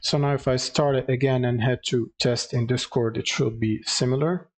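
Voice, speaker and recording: A man with a mid-pitched voice walks through a software tutorial. He explains casually, step by step, pausing a little hesitantly as he goes. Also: steady tone. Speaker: tutorial presenter.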